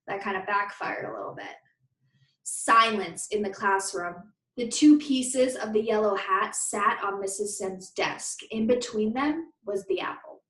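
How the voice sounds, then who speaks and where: A woman's voice: mid-range voice, measured pace, a bit bright. A woman reading a children's story aloud expressively, like a teacher to her pupils. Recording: roomy, echoey sound.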